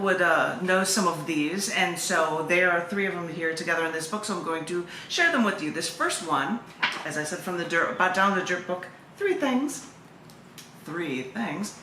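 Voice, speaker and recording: Female poet and open mic host, bright forceful voice, mid pitch; live reading in an echoey room with faint noise. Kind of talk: poetry reading with chatty introductions.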